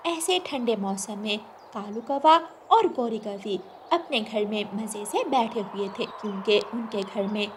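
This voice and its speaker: A woman's voice: mid-range voice, a little slow, few pauses, slight background noise. A woman reading scripted narration for a children's cartoon moral story.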